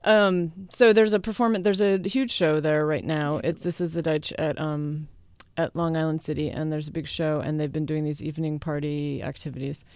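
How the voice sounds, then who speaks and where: Low-voiced woman, smooth and close. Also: steady tone, moderate pace, few pauses, darkish tone, no echo. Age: late 30s. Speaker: guest, needle-piercing performance artist and teacher. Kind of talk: casual interview chat.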